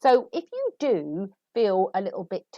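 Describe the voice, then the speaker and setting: Lively woman with a cold. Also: medium pitch, measured pace, no echo, dull audio. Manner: chatty and animated, laughing asides. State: recovering from a cold, sniffly. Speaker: podcast host and author. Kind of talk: solo podcast advice talk.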